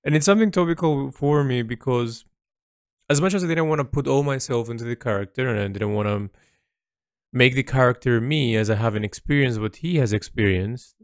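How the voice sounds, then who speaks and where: A man speaking a reflective monologue as an artist's audio log, halting and introspective as he searches for words. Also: mid-range voice, no room sound, studio quality.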